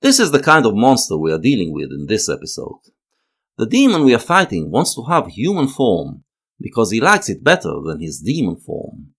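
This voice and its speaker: A man narrating a scripted analytical video essay in a measured, lecturing manner, leaning on key words. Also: medium pitch, wildly swooping pitch, a bit bright.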